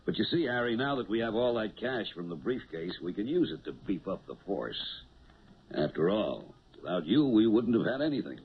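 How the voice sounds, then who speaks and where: Male, low-voiced shrewd police lieutenant, lively inflection, genial and persuasive, vintage radio play. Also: normal speed, breathy edge, heavily acted. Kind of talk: 1970s radio drama acting. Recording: tinny, hissy 1970s radio broadcast.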